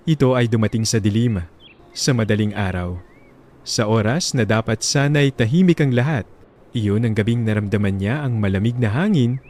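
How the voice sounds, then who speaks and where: A Filipino man tells a story as a radio drama narrator. His delivery is solemn and sentimental, and his pitch moves expressively through each dramatic line. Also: darkish tone.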